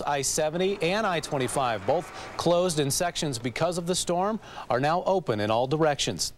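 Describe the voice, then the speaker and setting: A man with an American accent delivering scripted news as an anchor. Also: mid-range voice.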